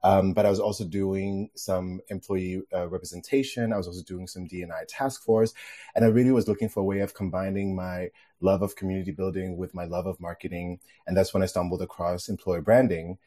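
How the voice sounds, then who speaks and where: Deep-voiced Danish-born male guest. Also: a little fast. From Denmark. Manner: relaxed, laughs easily, many fillers. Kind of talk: podcast interview.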